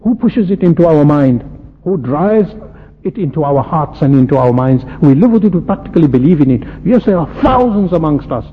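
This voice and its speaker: A man's voice: a little high, sing-song, fairly quick. A man preaching an impassioned Islamic sermon, emphatic, with repetition and rhetorical questions. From South Africa. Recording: muffled, reverberant hall with background noise.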